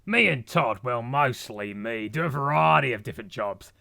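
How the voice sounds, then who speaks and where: A gravelly British monster hunter acted by a man, blustering and blunt, with a forceful edge to the voice. He is a middle-aged veteran talking casually to camera in an animated mockumentary, bossy and deadpan about his own exploits. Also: medium pitch, normal speed. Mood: matter-of-fact.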